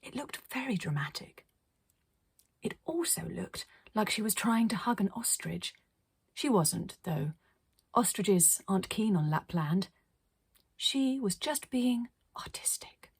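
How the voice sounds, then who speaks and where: Expressive woman storyteller, slightly husky, dry sound. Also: medium pitch, no echo. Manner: expressive, dramatic pauses. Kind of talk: scripted children's story narration.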